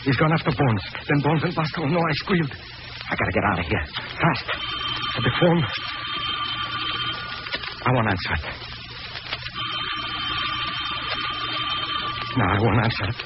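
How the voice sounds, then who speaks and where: An actor playing a frightened, pleading small-time gangster with a stammering delivery in a 1940s radio crime drama. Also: male voice, medium pitch, lively intonation, brisk, long pauses, natural voice. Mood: panicked. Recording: noisy, muffled vintage radio broadcast recording.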